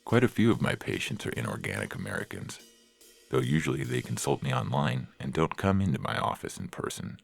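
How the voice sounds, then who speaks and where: A man playing a considerate, professional therapist who is calm and accommodating, in a science fiction audio story. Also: extremely low pitch, a little fast, creaky, slightly rough, pitched below the performer's own voice, heavily acted. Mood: reassuring. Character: considerate, professional male therapist with robot patients. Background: music.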